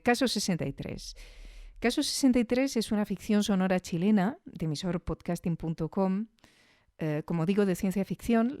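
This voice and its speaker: A woman from Spain with a lowish, mellow voice presents a podcast review. She talks conversationally, dropping in chatty asides and checking in with the listener. The recording is dry and close, with no room sound. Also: no room sound. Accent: Spanish from Spain. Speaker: podcast host. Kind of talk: casual scripted podcast review.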